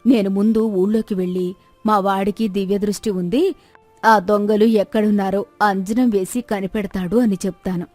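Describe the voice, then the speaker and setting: A woman's voice: medium pitch, fairly even intonation, moderate pace, a little mellow, dry recording, faint music behind, natural voice. A woman voicing a commanding, scheming village woman in a story. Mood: bossy, scheming. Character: bossy, scheming village woman covering for her man.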